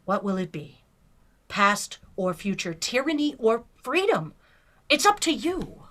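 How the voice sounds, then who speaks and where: A bold female starship captain, acted by a woman in a sci-fi audio drama. Her voice is high and bright, swinging widely as she makes emphatic, persuasive points with theatrical flair. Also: big pitch swings, unhurried, full character voice. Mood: impassioned. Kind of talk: fan audio drama acting. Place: remote virtual production.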